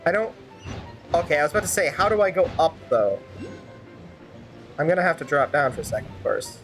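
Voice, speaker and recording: A woman streamer with a low-pitched voice improvises commentary over a video game. She talks in a sarcastic, rambling way. Music plays behind her. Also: big pitch swings, brisk.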